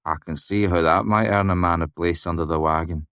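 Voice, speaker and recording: A man voicing a grave, dry-witted foreign student in an audiobook, terse and deadpan. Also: low voice, a little fast, clear, resonant tone, no echo.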